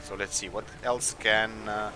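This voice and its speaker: Low male voice, casual gaming commentary, hesitant, thinking aloud, clear music in the background. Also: flat delivery, measured pace. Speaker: gaming video host. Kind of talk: casual let's play commentary. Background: game music.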